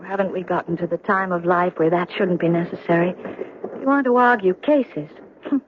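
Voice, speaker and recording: A woman's voice: lowish voice, moderate pace, lightly acted. A woman acting a bitter, cynical young widow in a radio drama, with a curt, sardonic way of talking. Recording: muffled, noisy old radio drama recording.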